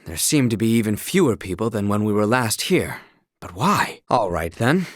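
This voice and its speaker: A man's voice: lowish voice, very animated, normal speed, no room sound, studio quality. A man playing an earnest, proud young retainer in a video game, speaking in formal, archaic courtly phrasing. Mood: measured, matter-of-fact. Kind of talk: video game character acting.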